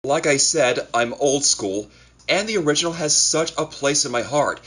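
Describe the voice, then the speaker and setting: A man's voice: a little high, animated, normal speed, a bit bright, husky. A man speaking casually to viewers as an amateur creator making an announcement. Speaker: amateur video creator and voice actor. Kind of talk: casual scripted-feeling vlog announcement.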